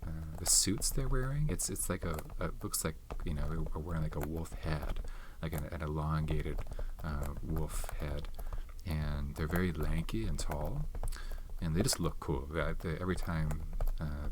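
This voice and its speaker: A soft-spoken man chatting unhurriedly about films, often trailing off to search for names and now and then dropping into a whisper. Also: very deep voice, normal speed. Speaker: ASMR channel host. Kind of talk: soft-spoken ASMR movie chat. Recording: audible background noise with keyboard and tapping clicks. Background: tapping and typing clicks.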